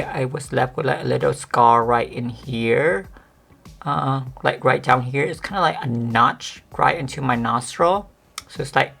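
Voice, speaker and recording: A woman vlogger chatting casually to the camera with a monotone, deep voice that sometimes cracks, rambling with many fillers. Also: gravelly, breathy edge. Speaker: beauty vlogger.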